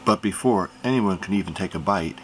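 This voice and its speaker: A man reading a children's picture book aloud in an expressive storytelling manner. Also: deep voice, brisk, slight background noise. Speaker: reader.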